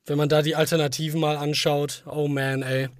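A man's voice: fairly high pitch, level tone, fairly quick, dry recording, clean studio sound. A man co-hosting a podcast, chatting casually and jokingly in short colloquial remarks. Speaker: podcast co-host. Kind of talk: casual chat.

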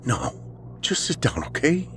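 A man's voice: mid-range voice, wildly swooping pitch, unhurried, a bit bright, slight background noise, pitched above the performer's own voice. A man playing a distraught, paranoid friend in a horror story, speaking in an intense, insistent and pleading way. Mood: bitter, reserved. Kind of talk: audiobook character dialogue, horror story.

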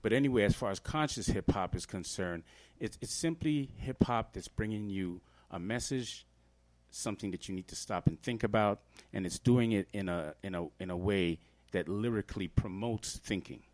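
Deep-voiced man from Trinidad and Tobago. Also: moderate pace, a little mellow. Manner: earnest, explanatory, many fillers. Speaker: guest hip-hop artist. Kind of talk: casual podcast interview.

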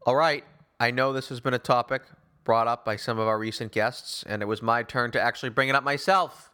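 A man hosting a sports talk show, giving opinion in an emphatic, wordy, deliberate way. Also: mid-range voice, steady tone, sharp tone, smooth. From Newton, Massachusetts, United States. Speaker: sports podcast host.

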